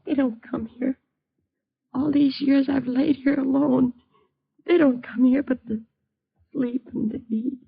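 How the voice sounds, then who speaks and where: A dull vintage radio recording carries a fearful bedridden mother. Her high voice is breathy and frayed. She pleads in agitated, bitter repetitions, lonely and fragile, in old radio-drama style. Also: steady tone, measured pace, long pauses, full character voice. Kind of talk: 1950s radio drama acting.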